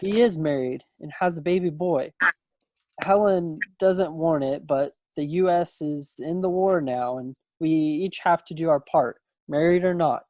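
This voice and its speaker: A man's voice: fairly high pitch, measured pace, dark tone, natural voice. A man playing a playful, folksy young small-town suitor from the 1940s in a play reading over a video call. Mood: chatty, earnest. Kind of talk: stage play table read. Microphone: computer microphone on a video call.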